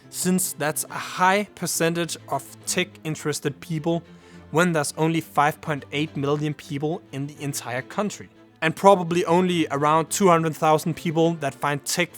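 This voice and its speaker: A man's voice: fairly high pitch, fairly bright tone. Danish man giving creator advice. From Denmark. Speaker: YouTuber. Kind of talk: scripted vlog talk to camera.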